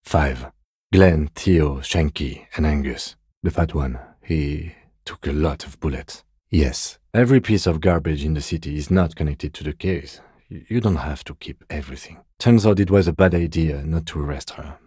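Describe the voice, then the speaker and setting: An actor playing a composed, weary police detective in a video game, with dry, deadpan, measured delivery. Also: male voice, extremely low pitch, slightly rough, dry recording. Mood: grave, composed.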